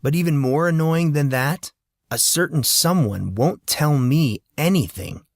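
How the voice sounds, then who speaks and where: Man as a teenage schoolboy, higher pitch, grumbling, defensive, hesitant, audiobook character. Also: lively intonation, measured pace, gravelly, no echo, studio quality. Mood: exasperated. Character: groggy, grumbling, self-doubting fourteen-year-old schoolboy.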